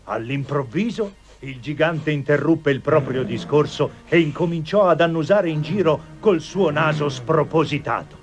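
Higher-voiced man narrating over loud music. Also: moderate pace, few pauses. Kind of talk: scripted storybook narration for a cartoon. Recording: dull lo-fi TV audio, music behind.

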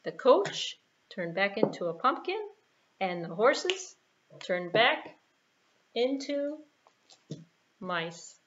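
A woman's voice: mid-range voice, very animated, drawn-out words, long pauses, a bit bright, a little hiss or hum. A woman telling a children's story with puppets, recorded indoors with dull, muffled sound. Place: small plain room, indoors.

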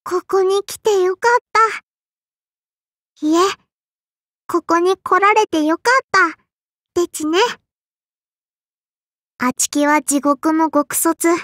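A woman's voice: extremely high pitch, fairly even intonation, slow, long pauses, a bit bright, dry recording, studio quality, exaggerated voice. A woman voicing a small, strict but kindly and dutiful sparrow girl for an anime game, in a childlike lisping voice with formal, cutesy phrasing. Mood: tender, grateful. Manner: formal yet cutesy, chirping verbal tics. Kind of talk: Japanese anime game character lines.

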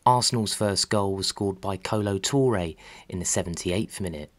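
A man reading scripted documentary narration about sport in a measured, factual way. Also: low-pitched. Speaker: narrator of a football history channel.

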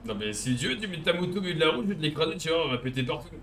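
Young male streamer, rapid bright delivery, blunt and provocative, gaming chat. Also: on the high side, sing-song. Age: young adult. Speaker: streamer trolling in a roleplay game. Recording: faint background game noise.

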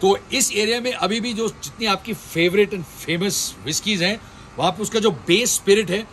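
A man's voice: high-pitched, moderate pace, a bit bright, a little hiss or hum. A man hosting a travel show, chatting casually and joking while he shows viewers around a brewery. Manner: playful, jokey interviewer. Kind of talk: casual travel vlog chat. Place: brewery, indoors and outdoors.

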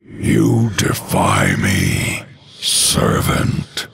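Gravelly, abyss-deep undead king. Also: huge pitch swings, a bit bright, heavily acted. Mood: imperious, solemn. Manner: slow, menacing, commanding declarations. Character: cruel, imperious undead death knight king. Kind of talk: video game hero voice lines.

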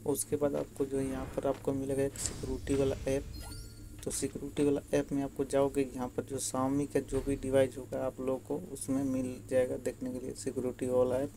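A man's voice: medium pitch, monotone, fairly quick, mellow, a little hiss or hum. A man giving a casual, instructional how-to voice-over, addressing viewers directly and repeating himself. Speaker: tutorial video host. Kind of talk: casual how-to narration over screen recording.

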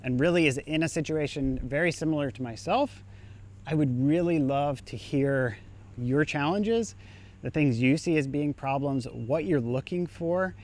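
A man's voice: fairly high pitch, unhurried, darkish tone. A young man, an engineer, casually explaining to camera while standing outdoors on a residential street. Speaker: engineer and startup founder.

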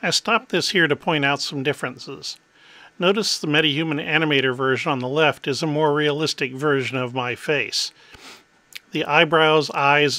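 A middle-aged man hosting a tech demo, explaining in a clear, methodical way. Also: fairly high pitch, bright, forceful tone, no room sound. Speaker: host.

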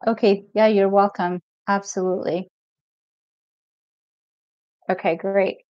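A middle-aged woman from Ethiopia, a mental health coach hosting a live stream Q&A in a relaxed, chatty way, reading viewer comments aloud with many fillers. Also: mid-range voice, slow pace, no echo. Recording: dull lo-fi stream audio, dry.

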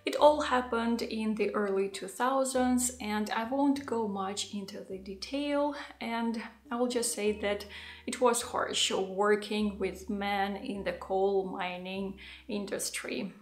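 A woman in her thirties, not a native English speaker, talking to the camera at home in a thoughtful, unhurried vlog with soft laughs. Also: mid-range voice, steady tone, soft background music. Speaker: vlogger. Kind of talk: casual talking-head vlog. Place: home living room, indoors. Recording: echoey room.

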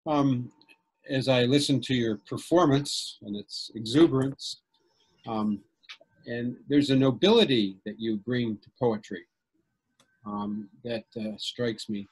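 A man with a slightly husky voice asking the guest thoughtful questions over a video call. He speaks in a measured, polite way at an ordinary pitch. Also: low-quality recording. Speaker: audience questioner.

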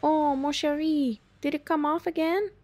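A woman's voice: high voice, fairly even intonation, normal speed, darkish tone, soft background music, pitched above the performer's own voice, lightly acted. A woman playing a doting lady dog owner in a storybook, cooing cutesy baby talk to her pets. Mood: cooing, fussy.

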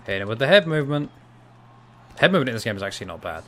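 A young man doing casual, chatty live commentary on a fighting game from a small room at home, shouting out reactions and laughing with viewers. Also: mid-range voice, very animated, very fast. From half Cypriot. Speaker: gaming streamer.

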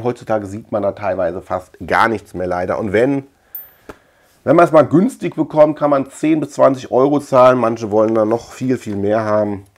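A middle-aged man from Germany with a mid-pitched voice presents a collection in a casual show-and-tell. He talks informally and loosely, circling back and repeating words as he rambles along. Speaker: video collector and channel host. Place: indoor room lined with shelves of tapes.

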